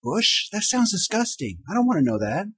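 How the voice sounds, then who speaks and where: Casting: male podcast co-host, husky voice, lively swings in pitch, casual banter. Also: brisk. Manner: animated, jumps between loud and quiet. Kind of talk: casual chat.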